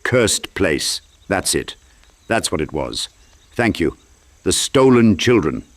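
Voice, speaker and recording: Male narrator as forgetful desperate knight, deep pitch, halting delivery, confused, earnest, dark fantasy audiobook. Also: big pitch swings, full character voice. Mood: muddled gratitude.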